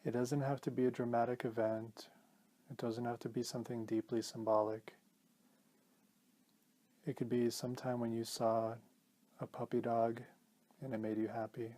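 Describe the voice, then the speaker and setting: A man leading a guided meditation as a teacher, speaking in a calm, gentle, measured way with pauses. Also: medium pitch.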